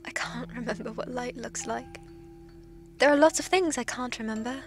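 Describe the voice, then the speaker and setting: A woman's voice: on the high side, moderate pace, over music, natural voice. A woman playing a lonely, forgetful undead woman recording hesitant, intense logs in an audio drama. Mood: lovesick. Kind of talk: audio drama log monologue.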